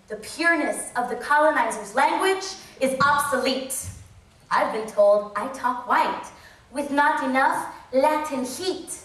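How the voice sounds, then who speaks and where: A woman performing rhythmic spoken word poetry on stage, slow and emphatic. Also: sits high, fairly bright tone. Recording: echoey hall, mic far off.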